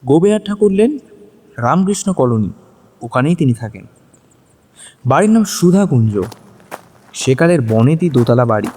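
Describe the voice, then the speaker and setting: A man's voice: fairly high pitch, sing-song, moderate pace, pauses often, warm tone, breathy edge. A man narrating a scripted story in a dramatic style, as in an audio drama. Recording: long hall reverb on voice. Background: music.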